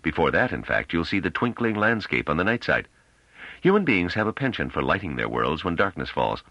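A man's voice: deep voice, sing-song, a little fast, bright, forceful tone, dry recording, full character voice. A man voicing an assured male space pilot who explains things, in an audiobook on a dull recording.

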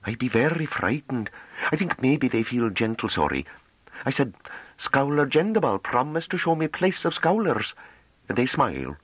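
A man's voice: mid-range voice, big pitch swings, normal speed, fairly bright tone, breathy edge, dry recording, voice pushed up, low-quality recording. A man voicing a young unschooled farm woman who speaks in broken dialect, bashful but fierce. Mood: earnest. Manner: broken dialect speech, earnest and pleading.

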